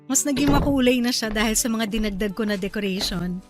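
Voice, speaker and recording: A woman playing a cheerful young wife and new mother in a radio drama, talking in a bright, chatty and eager way. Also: a little high, brisk, over music, lightly acted.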